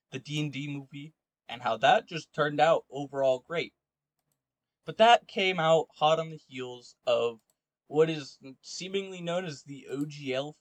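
A man's voice: medium pitch, dry recording. Dry-recorded man chatting on a podcast. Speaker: podcast host. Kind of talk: casual podcast chat and commentary.

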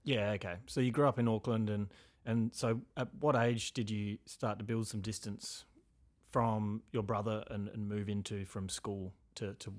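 A man hosting a podcast interview, gently recapping what his guest said before asking the next question. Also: on the low side, steady tone, normal speed. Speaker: podcast host.

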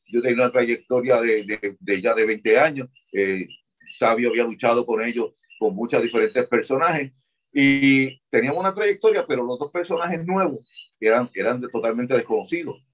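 A man's voice: medium pitch, breathy edge. An older man, a former wrestling manager answering interview questions and repeating words as he recalls.

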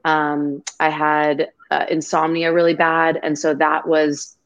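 A woman's voice: low-pitched, monotone, slow. A woman guest on a video-call interview, talking in an effusive, grateful and rambling way. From half Greek, half Irish family. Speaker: podcast guest.

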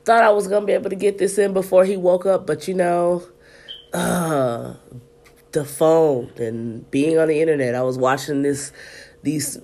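A woman's voice: rumbling low, a little mellow. Woman in her thirties, podcast host, stuffy congested voice, chatty and loose, recording at home. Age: 30s. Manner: chatty and loose, addresses listeners as y'all. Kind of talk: casual podcast chat and reading aloud. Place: at home in an apartment.

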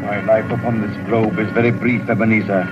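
An actor playing a solemn, fading old Christmas spirit, grave and portentous, in a vintage radio drama. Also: male voice, lowish voice, little pitch movement, a little fast, lightly acted. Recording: muffled old radio broadcast, music behind.

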